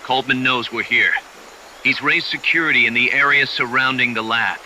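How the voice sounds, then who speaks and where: Sharp, forceful military comrade. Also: male voice, mid-range voice, steady tone, normal speed, a little hiss or hum, poor audio. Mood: urgent warning. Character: brisk, commanding male military comrade, alert and serious. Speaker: video game ally character. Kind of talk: dramatic video game acting.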